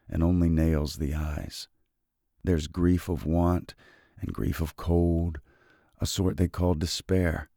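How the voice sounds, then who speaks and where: A man hosting a literature podcast who reads classic poetry slowly and softly in a relaxed, unhurried way. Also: no echo, studio quality. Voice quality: very deep, gravelly voice. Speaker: podcast host and reader. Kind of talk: slow, measured poetry reading.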